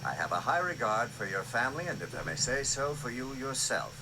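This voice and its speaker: A menacing space-empire nobleman acted by a man speaks with formal, oily courtesy. His phrasing is measured yet widely inflected, in a noisy old radio drama recording. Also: medium pitch, sing-song, close to an everyday voice. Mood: veiled menace. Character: smooth-mannered, menacing imperial lord, courting and threatening. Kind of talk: 1980s radio drama acting.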